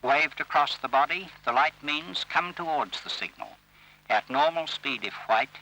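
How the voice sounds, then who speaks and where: A man reading scripted instructional narration for an old training film, in a formal, measured and instructive manner. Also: a little high, animated, sharp tone. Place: voice-over recorded for film. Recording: old scratchy railway training film soundtrack.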